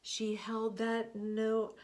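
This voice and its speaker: A woman reading a picture book aloud, expressive, often dropping to a hushed whisper. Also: a little high. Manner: expressive, slow, hushed asides. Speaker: storybook reader.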